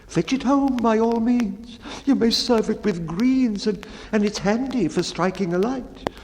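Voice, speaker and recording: A man voicing a timid, mournful baker in a dramatic poetry reading, with a tearful, drawn-out lament. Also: high-pitched, darkish tone, big-room sound, a little hiss or hum, pitched above the performer's own voice. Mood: wistful.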